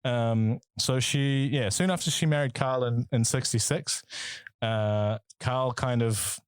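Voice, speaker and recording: A man's voice: mid-range voice, takes it slowly, clear, resonant tone, dry recording. A man with a New Zealand accent co-hosting a casual music podcast, rambling and swearing with lots of fillers and restarts. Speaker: podcast co-host.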